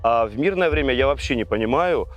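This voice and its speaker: A man's voice: medium pitch, animated, fast. Male reality show host, mid-range voice. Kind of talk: presenting and briefing contestants. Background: faint music.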